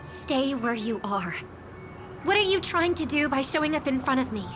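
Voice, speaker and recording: Game heroine casting: earnest young woman, highish voice, solemn and resolute, music beneath. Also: lively intonation, normal speed. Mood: stern. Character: solemn, principled young sister, guardian of harmony. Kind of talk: video game character acting. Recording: music under the voice.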